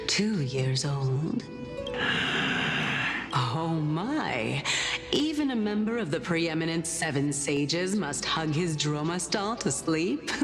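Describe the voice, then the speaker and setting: A woman voicing a serene, curious female Titan spirit in a video game, measured and musing, gently mocking as she probes. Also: deep voice, exaggerated voice. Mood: teasing. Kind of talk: dramatic video game voice acting. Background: faint music.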